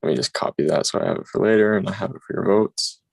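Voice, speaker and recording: A man hosting an online game over a video call, talking in a casual, brisk way. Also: low-pitched, gravelly, darkish tone, dry recording. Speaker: game host.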